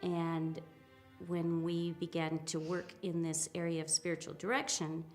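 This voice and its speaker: A woman in her fifties giving a reflective personal account, speaking hesitantly with soft pauses and restarts. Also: fairly low pitch, fairly even intonation, soft background music. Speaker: interviewee, spiritual practitioner.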